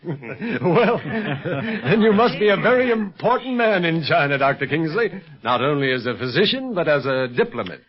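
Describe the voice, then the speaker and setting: Animated American secret agent, old radio. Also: male voice, on the high side, moderate pace. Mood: genial. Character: calm, confident male secret police agent, an uncle. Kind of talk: 1930s radio adventure serial acting. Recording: muffled 1930s radio recording, faint music.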